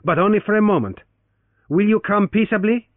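A man's voice: high-pitched, brisk, no echo, pitched above the performer's own voice, lightly acted. A man voicing a stern adult man who accuses earnestly, heard through a tinny, dry narrowband recording.

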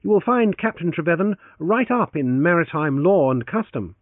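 A man voicing a wry young gentleman adventurer who banters mockingly, heard through a tinny, dry narrowband recording. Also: fairly high pitch, expressive, normal speed, no room sound, voice pushed up, lightly acted. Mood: breezy.

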